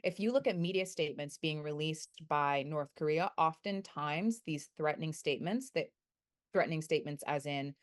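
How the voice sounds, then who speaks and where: A young adult woman answering interview questions as a researcher, thoughtful and measured, sometimes correcting herself. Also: low voice. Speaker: guest researcher.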